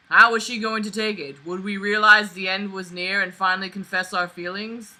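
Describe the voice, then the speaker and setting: A young woman with a strikingly bright, sharp voice reads aloud in a casual way. She keeps interrupting the text with mocking commentary. Her manner is sarcastic, exasperated and freely sweary. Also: mid-range voice, normal speed, poor audio. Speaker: online book reader and commentator.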